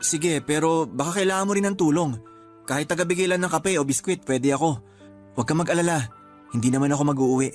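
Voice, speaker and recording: An actor playing a caring, apologetic friend who sees spirits, in a Filipino radio drama, gentle and hesitant in manner. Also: male voice, a little high, fast talker, close to an everyday voice. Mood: apologetic, polite. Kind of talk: Tagalog radio drama acting. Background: background music.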